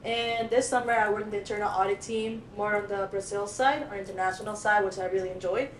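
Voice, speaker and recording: A woman's voice: medium pitch, steady tone, fast talker. A young woman from El Salvador chatting in an upbeat, chatty way on a student podcast. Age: young adult. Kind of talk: casual podcast chat. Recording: echoey room, faint noise.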